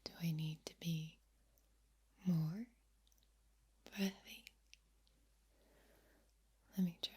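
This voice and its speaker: Asmr girl whispering super slow n breathy, kinda low voice, right up in your ears, repeats words softly. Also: steady tone. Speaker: ASMR video creator. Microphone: close microphone, speaking ear to ear.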